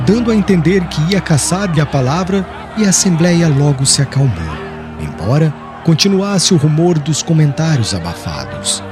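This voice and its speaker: A Brazilian man narrating an audiobook in a solemn, theatrical, formal literary style. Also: fairly high pitch. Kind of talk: dramatic scripted literary narration. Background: background music.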